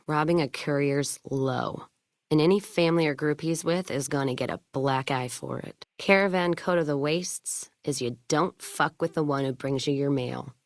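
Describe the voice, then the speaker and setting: Hard-bitten female caravan trader, low-pitched, blunt, sardonic, foul-mouthed, video game dialogue, dry studio-close sound. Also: no echo. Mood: grimly resolved. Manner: gruff, sardonic, blunt frontier drawl of speech, dropped words.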